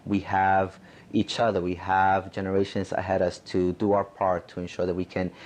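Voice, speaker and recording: A man from Mexico giving personal testimony for a documentary in an earnest, halting way. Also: low voice, a little mellow. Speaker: attorney.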